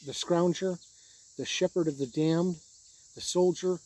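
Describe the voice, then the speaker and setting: A man with a fairly high, husky voice hosts a game review video. His tone is mellow and soft-edged, and he talks through rules in a conversational way, like a host explaining things to viewers. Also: steady tone, measured pace, dry recording, low-quality recording.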